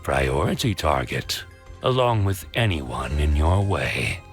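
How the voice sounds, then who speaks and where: A man's voice: a bass voice, sing-song, slow, music in the background, heavily acted. A man voicing a sly, calculating octopus infiltrator villain with a controlled, menacing manner, in a cartoon comic dub. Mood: cold scheming. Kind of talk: animated comic dub acting.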